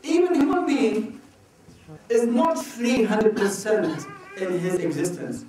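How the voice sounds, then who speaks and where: A man from Iraq answering audience questions at a religious talk, speaking slowly and deliberately and often asking rhetorical questions. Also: high voice, a crowd in the background. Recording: echoey hall, mic far off.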